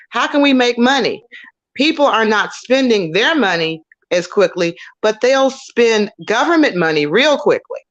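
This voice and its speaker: A woman on an online panel, talking loudly and emphatically and repeating her key words. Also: mid-range voice, dull audio. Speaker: panelist on legal topics.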